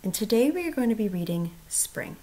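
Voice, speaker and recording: A woman speaking as a first grade teacher in a children's read-aloud, expressive and putting questions to the listener. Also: fairly high pitch, brisk.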